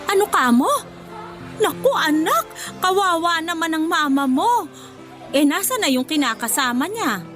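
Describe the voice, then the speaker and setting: A woman's voice: very high voice, lively intonation, a little slow, pauses often, a bit bright, soft background music, heavily acted. An actress playing an affectionate, motherly older former nanny in a Filipino radio drama, with a Filipino accent. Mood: concerned, pitying.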